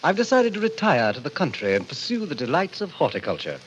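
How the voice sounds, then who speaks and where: An actor playing a suave, sinister club president, speaking with formal, urbane condescension in a 1940s radio mystery. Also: male voice, a little high, wildly swooping pitch, fast talker, lo-fi sound. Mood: smooth, amused. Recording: old radio recording, faint noise.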